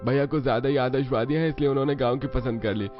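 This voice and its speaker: A man's voice: medium pitch, steady tone, quick pace, highs cut off, soft background music, voice pushed up, natural voice. A man voicing an eager young brother-in-law in a cartoon family story. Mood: self-assured.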